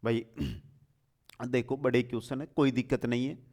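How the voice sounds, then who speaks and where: A man's voice: medium pitch, steady tone, unhurried, a little mellow. A man teaching an exam-prep quiz lesson, reading out questions and explaining answers in a familiar, affectionate way with repeated key points.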